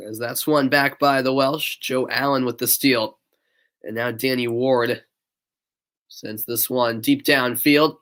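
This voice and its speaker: A man's voice: medium pitch, steady tone, slow pace, fairly bright tone. A young man from the northeastern United States with a stuffy nose, calling live play-by-play and chatting casually with viewers. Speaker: play-by-play commentator. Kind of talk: live amateur sports commentary.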